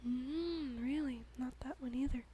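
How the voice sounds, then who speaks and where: Woman whispering asmr style, slow and soft, kinda high pitched, little giggles. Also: slight background noise. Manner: slow, gentle reading. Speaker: ASMR host.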